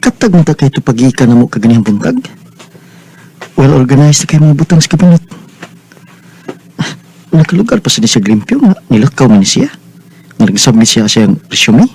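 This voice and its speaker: A man's voice: medium pitch, big pitch swings, brisk, vocal fry, pauses often, darkish tone, slightly rough, full character voice, rough recording. An actor playing a kind, attentive man in a radio drama, speaking expressively and conversationally with a Cebuano Filipino accent. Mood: gentle, observing. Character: Robin, kind, attentive man hosting and admiring a woman friend.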